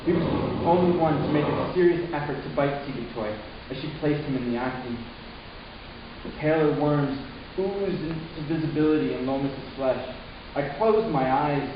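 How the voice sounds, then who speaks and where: A man playing a troubled, horrified young man, narrating his ordeal solemnly and deliberately in a dramatic reading. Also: fairly high pitch, dull audio, close to an everyday voice. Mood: grim. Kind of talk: scripted first-person narration, dramatic reading. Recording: echoey room from far off, hissy.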